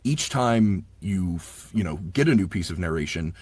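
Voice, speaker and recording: A man with a husky voice, talking informally and effusively in a casual interview as an audiobook narrator. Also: sits low, animated, normal speed, a little mellow, dry recording.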